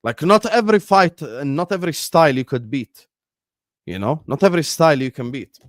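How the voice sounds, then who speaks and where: A man's voice: a little high, expressive, brisk, dry recording, clean studio sound. A man from Jordan whose first language is Arabic, hosting a football live stream in a combative, repetitive way. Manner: combative, repeats phrases, fillers like "you get me". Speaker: football channel host.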